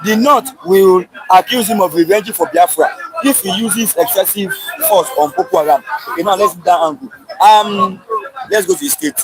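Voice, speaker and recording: Higher-voiced Nigerian host prompting guests. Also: male voice, expressive, normal speed, gravelly, music in the background, poor audio. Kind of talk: street interview livestream.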